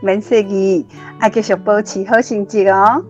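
A woman's voice: mid-range voice, fairly even intonation, unhurried, soft background music, close to an everyday voice. A woman playing a kindly, encouraging schoolteacher who speaks approvingly in a children's radio drama. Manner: approving, instructing. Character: kindly encouraging schoolteacher rewarding a top pupil.

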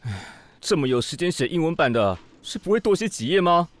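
Blustering, easily flustered cop, swinging wildly. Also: male voice, on the high side, big pitch swings, brisk. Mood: irritated sarcasm. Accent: Taiwanese Mandarin. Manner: blustering, grumbling, sputtering when flustered. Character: proud, foul-mouthed, easily flustered male police officer. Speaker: voice actor. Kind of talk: audio drama acting.

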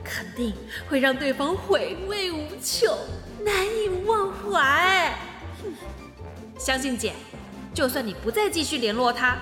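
Casting: bold young female confidante, high forceful voice, theatrical and cocky, roomy reverb with music. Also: full character voice. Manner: theatrical, self-assured. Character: bold, cocky young female best friend giving love advice. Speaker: voice actor in radio drama. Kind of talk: modern urban comedy radio drama acting.

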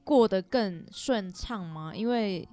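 A woman's voice: mid-range voice, expressive, takes it slowly, mellow, faint music behind. A woman with a Taiwanese accent chatting casually as a guest on a podcast. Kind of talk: casual chat.

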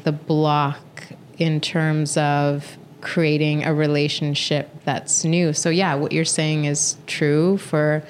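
A woman from Norway, founder of an education group, answering interview questions in a thoughtful, measured way. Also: low voice, little pitch movement, a little hiss or hum. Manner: thoughtful, measured, uses "um" and "you know". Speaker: guest, founder of an education organization.

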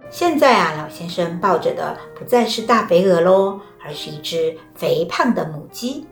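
A woman narrating a children's story in a lively, expressive way. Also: fairly low pitch, moderate pace, gravelly. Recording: roomy, with faint music.

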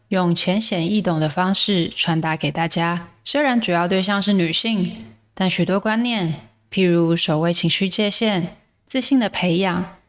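Low-voiced woman, lively book review narration. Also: dry recording, far from the mic. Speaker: podcast host reviewing books. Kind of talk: scripted book summary narration.

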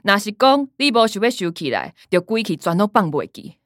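A woman as a sarcastic, animated Taiwanese granddaughter. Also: mid-range voice, fairly quick, dry recording, studio quality, close to an everyday voice. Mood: bitter, fed up. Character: blunt, sarcastic, impatient younger woman of the family. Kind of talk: radio drama acting.

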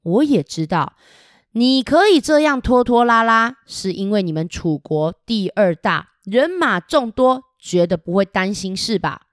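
A woman voicing a brave young male retainer who speaks forcefully and presses his point. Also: lowish voice, expressive, moderate pace, dry recording, clean studio sound, close to an everyday voice.

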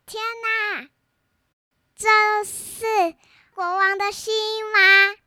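A brave, earnest little girl, acted by a child in a storybook drama. The voice is extremely high and bright, reciting each line slowly and carefully. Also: little pitch movement, no echo, heavily acted. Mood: excited, determined.

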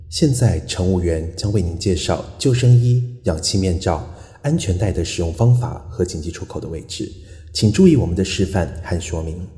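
Deep echoey male flight attendant, acted by a man. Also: mellow. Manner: formal, instructional. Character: male flight attendant reading safety briefing. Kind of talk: scripted in-flight safety announcement. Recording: roomy, echoey hall sound.

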